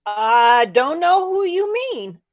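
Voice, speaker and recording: A woman's voice: very high voice, very animated, slow, heavily acted. An actress playing a boy's vacant, scolding mother in a theatrical, reproachful way.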